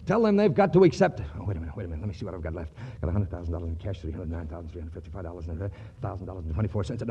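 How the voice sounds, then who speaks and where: Excitable, fast-talking young millionaire. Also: male voice, low voice, dull audio, a little hiss or hum. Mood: brisk, calculating. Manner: brisk, eager, emphatic. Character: excitable young millionaire heir racing to spend his fortune. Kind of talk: 1940s radio comedy acting. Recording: old 1940s radio broadcast.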